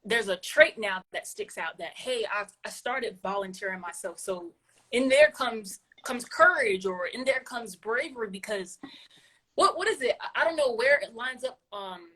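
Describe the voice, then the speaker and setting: Affirming woman interviewer, higher voice. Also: lively intonation, moderate pace, fairly bright tone, low-quality recording. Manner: encouraging, repeats words while thinking. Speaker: podcast host. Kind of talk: casual interview chat.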